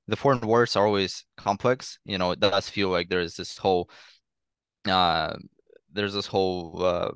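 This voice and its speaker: A man's voice: sits low, fairly bright tone, clear, resonant tone, no room sound. A young man from Eastern Ukraine whose first language is Russian, speaking English with an accent as an interview guest, hesitant and thoughtful, often repeating words. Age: young adult. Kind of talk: interview conversation.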